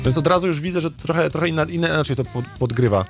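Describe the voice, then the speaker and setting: A man's voice: a little high, racing, creaky, music in the background. A man chatting casually as a game streamer, thinking aloud in a hesitant, rambling way and often repeating his words. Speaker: streamer, let's play host.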